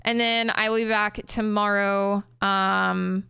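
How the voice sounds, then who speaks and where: A woman streamer, winded and slightly hoarse from reading aloud, chatting casually with many ums and repeated words. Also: medium pitch, little pitch movement, slow, pushed and bright, dry recording, studio quality. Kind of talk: casual stream sign-off chat.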